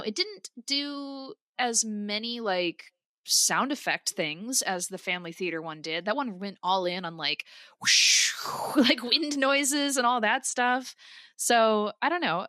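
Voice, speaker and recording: A woman co-hosting a podcast, giving opinions in an informal, expressive review chat. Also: mid-range voice, unhurried, no echo. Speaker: podcast co-host.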